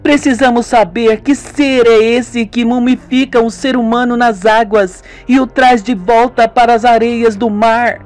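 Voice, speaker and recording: A man voicing an angry, grieving young woman in a radio soap, high and tearful. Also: fairly even intonation, unhurried, hardly any pauses, soft background music. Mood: irritada. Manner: tearful, furious outbursts.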